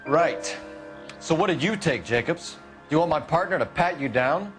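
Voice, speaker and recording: Actor playing a brisk, authoritative American detective, lively pitch. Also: male voice, over music, close to an everyday voice. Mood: firm questioning. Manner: formal, authoritative. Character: clipped, businesslike male police detective in 1940s city. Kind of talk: video game cutscene acting.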